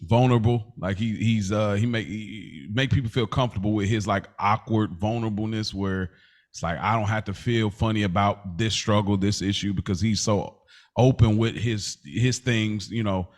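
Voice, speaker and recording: Casual, slangy man with a lowish voice. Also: moderate pace. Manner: slangy, animated storyteller, often says "bro" and "like". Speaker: podcast co-host. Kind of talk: casual chat.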